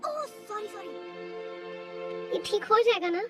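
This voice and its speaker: A kind-hearted, plucky cartoon child, speaking very high with lively swoops. The delivery is earnest and emotional. It sounds a little far from the mic, with music behind. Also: female voice, measured pace. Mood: distraught, remorseful.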